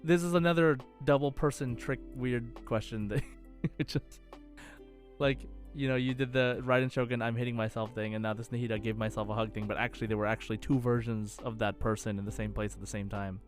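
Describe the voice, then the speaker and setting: A man's voice: medium pitch, fairly even intonation, a little fast, soft background music. A man co-hosting a podcast, chatting casually through a quiz. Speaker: podcast host.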